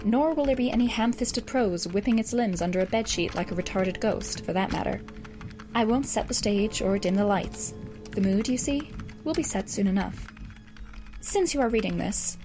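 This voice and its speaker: A wry, articulate teenage girl voiced by a woman in an audio drama monologue. She speaks in an ordinary female register, dry and wordy. Soft music sits underneath. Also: mid-range voice, moderate pace, close to an everyday voice. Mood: dry, grave. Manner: verbose, dry, sardonic. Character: wry, erudite teenage girl writing a doomsday game walkthrough.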